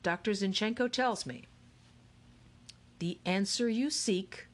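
A woman's voice: mid-range voice, slow, natural voice. Woman voicing a theatrical eccentric game-maker man. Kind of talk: audiobook character reading.

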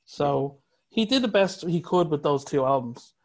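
Man hosting a podcast, fairly high voice, measured and agreeable. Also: expressive, vocal fry. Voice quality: higher singing voice. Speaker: podcast host. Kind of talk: casual music review chat.

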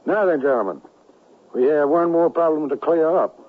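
An actor playing the menacing ringleader of a band of plotters, authoritative and deliberate in old-fashioned radio drama style. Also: male voice, fairly high pitch, animated, phone-quality sound. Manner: authoritative, deliberate, smoothly threatening. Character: commanding, menacing male ringleader of secessionist plotters. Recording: band-limited radio broadcast, music behind.

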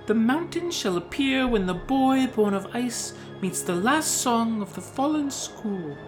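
A narrator voicing a solemn woman mystic in a storybook fantasy, portentous and measured. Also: medium pitch, animated, music in the background, voice pushed up, natural voice. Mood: solemn, prophetic. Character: high, solemn woman mystic guide pronouncing prophecy.

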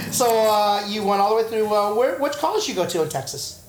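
A man's voice: high-pitched, lively intonation, normal speed, reverberant, a little hiss or hum. A man co-hosting a podcast who jokes and laughs often in casual chat. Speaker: podcast co-host.

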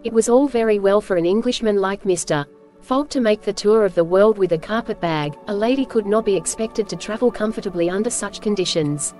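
A woman reading scripted storybook narration as host, with measured, clear phrasing. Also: mid-range voice, darkish tone, faint music behind.

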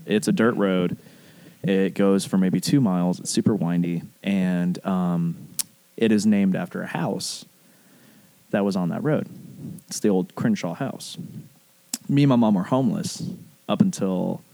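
Low-voiced man telling a rambling story. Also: measured pace, gravelly, pauses often, no echo. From southern United States, rural Alabama. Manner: animated, profane slang, rambling. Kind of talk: casual personal storytelling.

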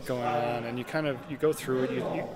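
A man chatting casually in an interview, in halting, repetitive phrases full of "like". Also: medium pitch, steady tone. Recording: loud crowd chatter behind voice.

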